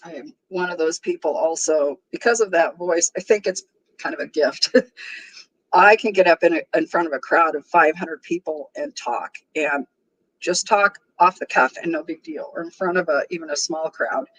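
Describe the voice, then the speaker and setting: A woman's voice: low voice, normal speed, fairly bright tone, poor audio. A woman in her sixties with a strong voice, hosting a casual livestream and chatting easily with her viewers, often laughing. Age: 60s. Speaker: livestream host.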